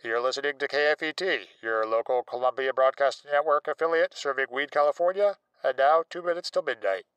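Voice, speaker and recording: A man's voice: medium pitch, a little fast, fairly bright tone, clear, resonant tone, no echo, lightly acted, poor audio. A man playing a formal, presentational American station announcer from old-time radio. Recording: faint noise and clicks.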